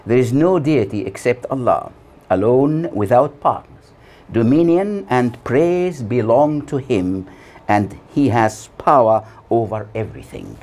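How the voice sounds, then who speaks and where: A man in his sixties delivering a scripted sermon as a preacher, solemn and deliberate, with measured phrases and pauses. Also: medium pitch, animated, darkish tone. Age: 60s. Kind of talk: scripted sermon read from notes. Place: small plain room indoors. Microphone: lapel mic.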